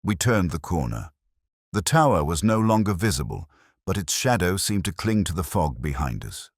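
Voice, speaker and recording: Deep bass man, dramatic audiobook narration, measured, solemn, lively intonation. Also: no echo, studio quality. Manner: measured, solemn, literary phrasing. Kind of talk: dramatic scripted mystery narration.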